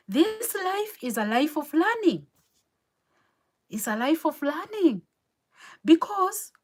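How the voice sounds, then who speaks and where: A woman's voice: high-pitched, darkish tone. A Kenyan woman, native Kikuyu speaker, hosting a motivational live stream, earnest and encouraging, repeating her key phrases. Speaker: motivational livestream host. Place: home in New York City.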